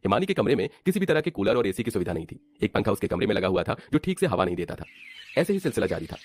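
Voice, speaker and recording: A man's voice: on the low side, very animated, rapid-fire, darkish tone. A man narrating a scripted cartoon family story as the storyteller.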